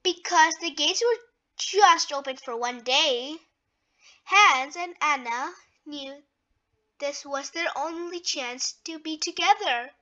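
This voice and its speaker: A woman reading a children's story aloud in a deliberate, expressive way with emphatic phrasing. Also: high-pitched.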